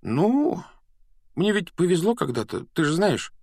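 A man's voice: on the high side, big pitch swings, measured pace, vocal fry, darkish tone, no echo, voice pushed up, full character voice. A man reads as a young schoolboy wizard in a storybook. He is brave but modest, speaking in an earnest, plain way. The sound is close and dry. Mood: modest, hopeful.

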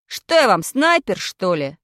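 Woman doing a brash, lowered-voice schoolboy schemer. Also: very animated, measured pace, fairly bright tone, dry recording, clean studio sound. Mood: defensive. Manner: insistent, self-justifying. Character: brash, clumsy, excuse-making schoolboy schemer. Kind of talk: children's story character.

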